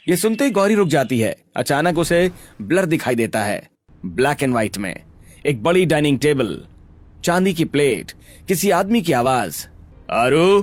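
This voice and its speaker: A man narrates a cartoon story from a script. His voice sits fairly high and moves in lively swings, with soft music underneath.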